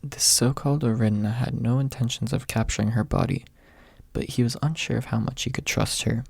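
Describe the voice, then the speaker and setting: A man reading an audiobook in a soft ASMR narration style. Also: mid-range voice, steady tone, a little fast, gravelly, slightly rough, dry recording.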